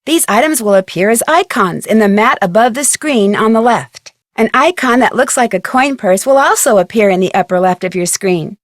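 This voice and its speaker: A woman's voice: fairly high pitch, animated, moderate pace, hardly any pauses, no echo, clean studio sound, close to an everyday voice. An actress playing a friendly, inquisitive young female detective, speaking upbeat video game narration and dialogue.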